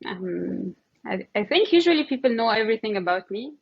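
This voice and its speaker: A woman from Egypt with an Egyptian accent, speaking casually and haltingly as an interview guest, often saying "like" as she thinks aloud. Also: medium pitch, sing-song, creaky, breathy edge, no echo, muffled recording.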